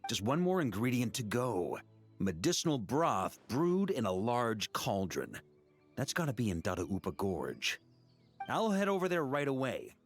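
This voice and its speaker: An actor playing a boisterous, friendly knight commander in a video game, hearty and playful, with music underneath. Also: male voice, medium pitch, wildly swooping pitch, unhurried. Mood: focused, upbeat.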